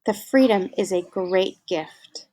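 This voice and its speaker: A woman voicing a tired, soft-spoken aunt in a read-aloud of a novel, speaking slowly and thoughtfully. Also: mid-range voice. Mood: reflective. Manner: slow, reflective reading of dialogue.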